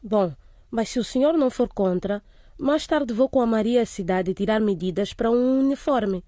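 An actress playing a firm, caring middle-aged headmistress in a radio drama, speaking in an earnest, measured way. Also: female voice, fairly high pitch, warm tone, dry recording, studio quality, close to an everyday voice. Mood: firm, insistent.

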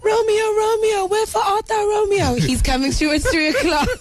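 A South African woman hosting a radio show in a conversational, playful and animated way. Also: very high voice, a little slow. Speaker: radio host. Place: radio studio.

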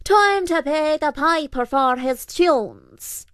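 A woman's voice: high voice, takes it slowly, dry recording, studio quality, voice pushed up. A woman voicing a mischievous, bossy male shapeshifting fairy creature with a snarling manner in a storybook tale. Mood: proud. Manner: snarling, commanding.

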